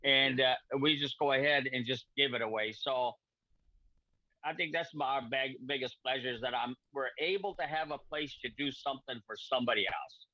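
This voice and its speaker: A middle-aged man from Portugal, a repair shop owner and guest on a video call, speaking in halting, repetitive friendly sentences. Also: medium pitch, pushed and bright, dry recording. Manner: halting, repeats words, friendly. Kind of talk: casual video-call chat. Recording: dull lo-fi video-call audio.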